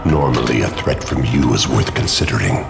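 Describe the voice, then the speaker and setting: An actor playing a towering, cold alien tyrant in a game, speaking in pompous, menacing declarations with a gravelly, booming voice. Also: male voice, normal speed. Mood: smug menace. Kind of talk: video game villain acting. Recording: loud music behind the voice.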